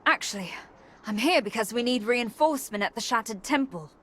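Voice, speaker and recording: An actress playing an earnest young resistance messenger in a fantasy video game, speaking informatively. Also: female voice, fairly high pitch, expressive, a little fast, a bit bright, a little hiss or hum. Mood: earnest, urgent.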